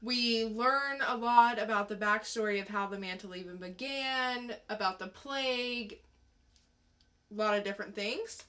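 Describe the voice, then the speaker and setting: Slow-paced, bright-voiced woman podcast co-host. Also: mid-range voice. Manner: plain-spoken, informal. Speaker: podcast co-host reviewing comics. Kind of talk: casual plot recap and review.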